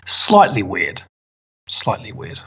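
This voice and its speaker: A man's voice: lowish voice, wildly swooping pitch, slow, pushed and bright, breathy edge. A man with a New Zealand accent chatting as an interview guest, hesitant and thoughtful, often repeating words. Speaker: podcast guest, permaculture designer. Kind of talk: casual interview chat. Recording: dull lo-fi audio.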